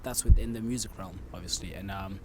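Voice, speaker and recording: A man's voice: deep voice, steady tone, fast. A man from South Africa chatting casually as a music artist being interviewed. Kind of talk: casual chat. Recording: outdoor urban traffic noise.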